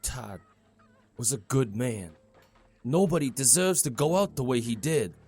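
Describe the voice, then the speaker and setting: Folksy, kindly male mayor played by a man, mid-pitched with very lively intonation, audio drama. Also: measured pace, husky. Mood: somber, consoling. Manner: folksy, informal, reassuring.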